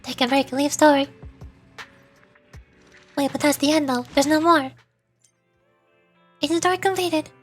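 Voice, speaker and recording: A woman's voice: sits high, unhurried, long pauses. A woman VTuber giving casual, off-the-cuff commentary while streaming a game. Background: music.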